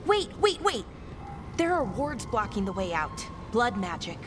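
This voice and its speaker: An actress playing a young female elven mage in a video game, earnest, nervous and a little halting. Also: medium pitch, sing-song, over music. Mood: nervous alertness. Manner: hesitant, halting. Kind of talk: video game drama acting.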